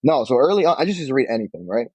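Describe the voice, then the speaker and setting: A young man, chatting casually as an interview guest, relaxed and full of "like". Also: medium pitch, racing, slightly rough, no room sound. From Queens, New York. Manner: relaxed, peppered with "like", acts out quotes. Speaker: bookshop owner, guest. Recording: dull lo-fi dry sound.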